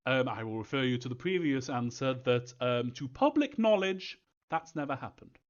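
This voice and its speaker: A man's voice: medium pitch, sing-song, moderate pace, lo-fi sound. A man answering fan questions as a game creator in a casual live Q&A, rambling hesitantly and thinking aloud as he goes.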